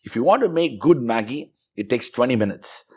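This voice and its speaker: A middle-aged man from South India recalling his student years in a relaxed interview, reflective and fluent with frequent fillers. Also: medium pitch, fairly quick, breathy edge. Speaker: interviewee, university professor. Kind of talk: conversational interview.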